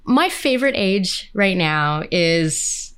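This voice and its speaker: A woman's voice: fairly low pitch, lively intonation, slow, fairly bright tone, clear, resonant tone. A middle-aged woman hosting an interview in a casual, conversational way, musing her way loosely into questions. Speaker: interview host.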